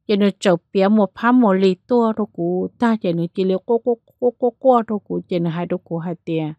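A woman narrating a spooky tale in a lively storytelling manner, changing pace and loudness as she goes. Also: mid-range voice, brisk, dry recording, dull audio. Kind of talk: spoken ghost story narration.

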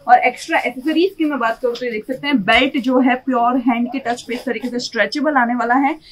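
A young woman presenting dresses in a clothing showroom, talking in an upbeat sales pitch. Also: fairly even intonation, fast talker, rough recording. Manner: upbeat salesy, repeats "you will get". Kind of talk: product showcase sales pitch.

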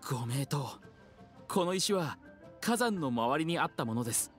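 A courteous, modest young scholar in an anime game. His pitch moves about in a lively way as he speaks with earnest deference, and soft music plays behind him. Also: moderate pace. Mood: earnest, respectful. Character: polite, modest bespectacled young gem expert, soft-mannered.

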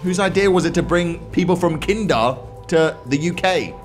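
A man's voice: fairly high pitch, moderate pace, faint music behind. A young man giving casual, playful reaction commentary as a gaming host, mocking things and swearing in banter. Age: young adult.

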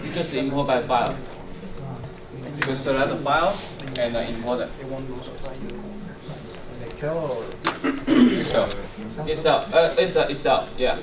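Echoey, distant non-native male trainer. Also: mid-range voice. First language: not English. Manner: halting, fragmented phrasing in non-native English. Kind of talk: hands-on technical workshop explanation. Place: indoor workshop room with small audience. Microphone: distant camera microphone. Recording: roomy, far from mic, noisy room.

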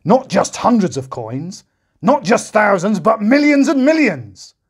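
Man playing a roaring, pompous king, high and loud, slow and heavy, husky tone. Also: big pitch swings, heavily acted. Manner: roaring, drawn-out words. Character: booming angry male king, pompous and harsh.

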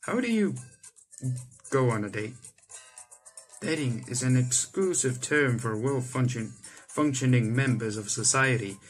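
A man's voice: mid-range voice, animated, a little slow, long pauses. A young man reading visual novel text aloud with a dramatic delivery, stumbling over words now and then. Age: young adult. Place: indoor room, on webcam. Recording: game music behind the voice. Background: visual novel game music.

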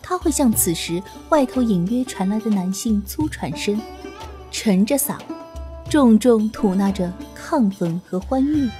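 Female audiobook narrator, lively intonation, measured pace, faint music behind. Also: medium pitch. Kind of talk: expressive scripted novel narration.

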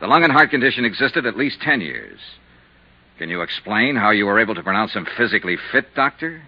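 An American actor playing a tough, wry insurance investigator in a 1950s radio drama, clipped, pressing and dry. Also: male voice, lowish voice, very animated, a little fast. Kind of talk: 1950s radio detective acting. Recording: muffled 1950s radio recording.

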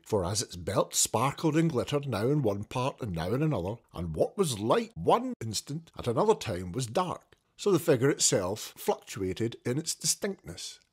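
Guy reading an old novel audiobook style, husky voice, super expressive, pitch all over the place. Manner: theatrical, expressive storytelling. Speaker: audiobook reader. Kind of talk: dramatic narration of a classic novel.

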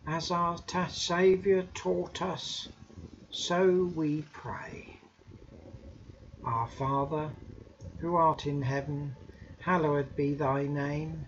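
A man in his fifties leading formal prayers in a solemn, measured manner with deliberate pauses. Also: on the high side, steady tone. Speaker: intercessor leading prayers in a church service. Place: domestic room, indoors. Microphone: webcam or laptop microphone. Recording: muffled webcam audio with background noise.

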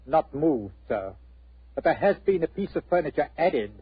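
An actor playing a formal, deferential English butler with a dry, faintly impudent manner, in 1940s radio style. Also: male voice, fairly high pitch, sing-song, moderate pace, slightly rough, no room sound, heavily acted. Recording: muffled old radio recording.

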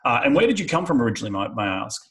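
A young man hosts an interview over a headset on a video call from a small room at home. His voice sits at a middle pitch, and he talks in a chatty, enthusiastic way. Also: sing-song, rapid-fire, fairly bright tone. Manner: chatty, enthusiastic, says mate. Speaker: podcast host.

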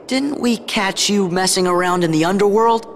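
A man's voice: high voice, normal speed, slight background noise. An actor, a man, playing an earnest, brash teenage boy hero in a video game. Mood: scornful. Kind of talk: video game cutscene acting.

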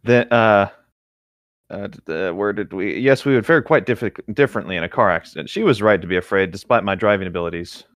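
A man's voice: fairly low pitch, brisk, dry recording, clean studio sound. A man co-hosting a casual comedic read-aloud, riffing in a joking, nerdy way as he goes. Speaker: co-host reading a book aloud and riffing.

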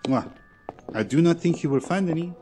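Casting: male actor as self-assured Belgian sleuth, French-speaking accent, courtly and precise, lively swooping delivery, old radio mystery. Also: fairly high pitch, brisk, close to an everyday voice. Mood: suave. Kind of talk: 1940s radio drama acting. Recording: old radio drama with music.

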